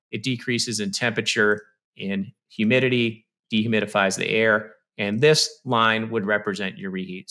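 A man in his thirties giving a relaxed, step-by-step technical presentation over slides, recorded on a studio microphone through a video call. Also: medium pitch. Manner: conversational, explains step by step with frequent "you know" and "okay". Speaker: HVAC presenter. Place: small indoor room. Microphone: studio mic on stand with headphones, video call.

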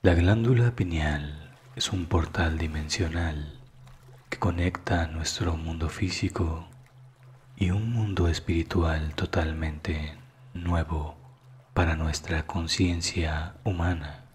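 A man narrating a scripted guided meditation in a calm, soothing manner that is hushed at times. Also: sits low, takes it slowly, slight background noise.

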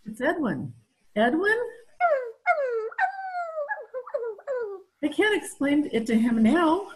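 A woman's voice: high-pitched, big pitch swings, moderate pace, poor audio. An older woman playing a gushing, fretful, stubborn wife in an old-time radio comedy, recorded at home over a video call. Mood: flustered. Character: doting, excitable, stubborn wife smitten with puppy.

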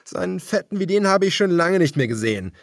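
A man's voice: sits high, sing-song, quick pace, creaky, no echo, studio quality, pitched above the performer's own voice, full character voice. A man voicing a cruel, mocking leader of a cannibal gang in an audiobook, with menacing taunts. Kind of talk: audiobook character acting.

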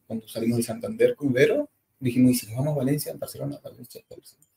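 A man's voice: medium pitch, sing-song, rapid-fire, creaky, mellow, slight background noise. Joking male podcast host. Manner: jokey, wraps up the conversation. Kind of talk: casual podcast chat. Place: indoor studio lounge set. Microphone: stand mic on the floor.

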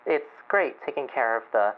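A woman playing a lonely, gentle chicken farmer calling in to a radio show, hesitant and halting with nervous chuckles, over a muffled call. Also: very deep voice, lively intonation. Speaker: caller.

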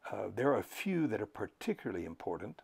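Older man, deep voice, gravelly, husky, professor lecturing, measured pace, many pauses. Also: big pitch swings, a little mellow. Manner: measured, thoughtful, frequent pauses and fillers. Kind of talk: unscripted educational talk to camera.

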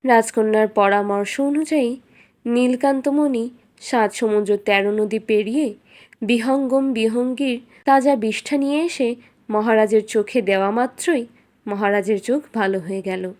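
Woman, high voice, cartoon storyteller, scripted bedtime fairy tale narration. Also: moderate pace, darkish tone.

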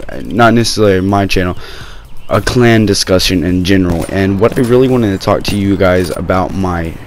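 A young man with a sore throat, talking low and rambling casually over gameplay footage on his gaming channel. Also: slight background noise. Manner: rambling, many fillers and restarts.

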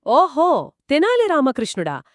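A woman's voice: sits high, wildly swooping pitch, a little slow, dry recording, clean studio sound. A woman co-hosting a children's story, asking questions and reacting with curiosity in a conversational style. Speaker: co-host listening and asking questions.

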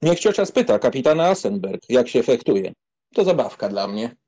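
A man's voice: medium pitch, sing-song, moderate pace, mellow, slightly rough. A man playing a flippant, teasing young aristocratic count in an audiobook drama, mocking and amused. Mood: cocky, cajoling. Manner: mocking, amused, mock-solemn.

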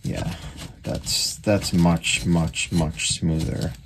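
A man giving casual hands-on repair commentary, halting and thinking aloud as he works at an indoor bench. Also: low voice, flat delivery. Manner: unscripted, halting, thinking aloud while working. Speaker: radio repairer demonstrating his work. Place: indoor workbench. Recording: noisy workshop recording with clicks.

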